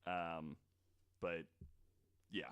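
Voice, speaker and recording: A man's voice: low-pitched, level tone, drawn-out words, fairly bright tone, clean tone. A man hosting a podcast, telling stories to co-hosts in a casual, joking, informal way with some swearing. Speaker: podcast host.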